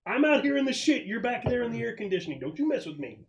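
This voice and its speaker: A man's voice: very high voice, big pitch swings, fast talker, gravelly, highs cut off, pitched above the performer's own voice, exaggerated voice. A man voicing a jumpy, exasperated soldier who gripes and swears in a tabletop game. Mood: exasperated, agitated. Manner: profane, agitated complaining. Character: jumpy, exasperated male soldier griping over comms.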